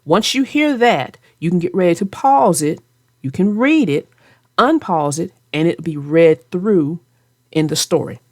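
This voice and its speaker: A woman's voice: on the low side, expressive, a little slow, no room sound, clean studio sound. A woman hosting and narrating a read-aloud for young children, speaking directly to her young listeners. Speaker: children's book narrator and channel host.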